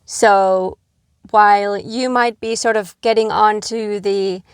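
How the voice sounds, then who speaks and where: A woman's voice: medium pitch, fairly even intonation, slow, smooth, dry recording. Earnest woman from Finland, dry sound. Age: middle-aged. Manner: earnest, gentle, with frequent fillers. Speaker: expat vlogger. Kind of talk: advice talk to camera.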